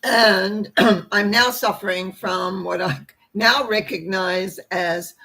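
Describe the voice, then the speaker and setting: Hoarse, croaky older woman. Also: medium pitch, steady tone, slow pace, sharp tone. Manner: rambling, folksy explaining with asides. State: hoarse after a treatment, clearing throat. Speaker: host, tarot reader and spiritual teacher. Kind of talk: informal spiritual talk to camera.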